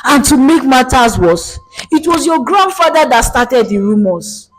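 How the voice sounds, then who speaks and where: A woman's voice: high-pitched, big pitch swings, unhurried, pitched above the performer's own voice, heavily acted. Villain nanny, performed by a woman storyteller: bitter, emphatic, raised and swooping voice cracking with emotion, folktale. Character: bitter, vengeful young nanny, a menacing female villain.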